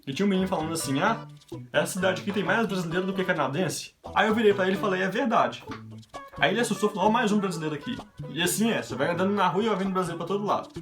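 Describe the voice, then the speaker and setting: Homem falante e acelerado, com violão ao fundo. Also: male voice, high-pitched, rapid-fire. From Brazil. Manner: chatty anecdotal, quoting others in his own voice. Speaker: vlogger. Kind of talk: casual storytelling vlog. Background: background guitar music.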